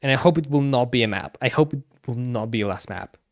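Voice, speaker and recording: A man's voice: medium pitch, fast, no echo, studio quality. A man giving casual gaming commentary as a streamer, often restarting and repeating his words hesitantly. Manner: hesitant, repetitive, stammering restarts.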